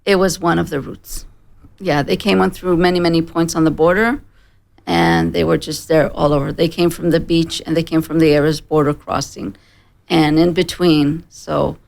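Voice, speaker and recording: Female guest, forties, from Israel, slight American accent, heavy vocal fry, lowish pitch, measured personal storytelling. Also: fairly even intonation, darkish tone. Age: 40s. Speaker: interview guest. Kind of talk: personal account in an interview. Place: broadcast studio.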